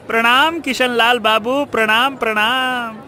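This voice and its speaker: High, sharp-voiced greedy cartoon shopkeeper. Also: male voice, exaggerated voice. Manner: obsequious, wheedling with chuckles, turning snide. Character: greedy fawning village shopkeeper, man, two-faced and spiteful. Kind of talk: animated moral story acting.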